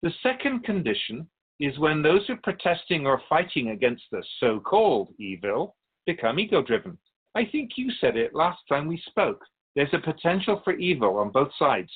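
Man as a lively-pitched otherworldly sage. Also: slightly rough. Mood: solemn, instructive. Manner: measured, didactic, musing pauses. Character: otherworldly male Keeper of Souls, wise, wry, calmly cautioning. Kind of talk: radio drama dialogue in interview form. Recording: dull, lo-fi sound.

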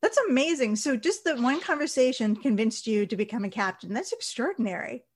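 A woman hosting a podcast interview, reading a measured scripted introduction and then asking questions. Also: fairly high pitch, lively intonation, breathy edge. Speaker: podcast host and editor.

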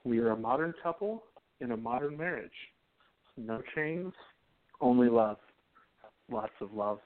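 Man as loving young husband, lively high-ish voice, tinny. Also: a little slow, natural voice. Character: young male newspaperman, loving and devoted. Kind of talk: amateur radio play acting. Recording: tinny phone-like sound.

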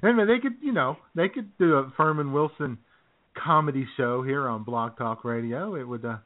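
A man's voice: medium pitch, moderate pace. Man bantering over a tinny phone line. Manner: chuckles often, wry. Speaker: co-host of a political comedy radio show. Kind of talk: casual joking banter. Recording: tinny phone-line internet radio audio.

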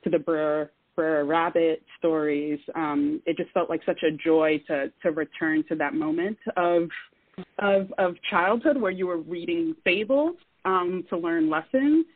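A guest in a radio interview, speaking in an earnest, measured way with frequent fillers. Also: medium pitch, no echo. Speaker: guest artist. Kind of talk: casual interview talk. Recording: dry, phone-like line.

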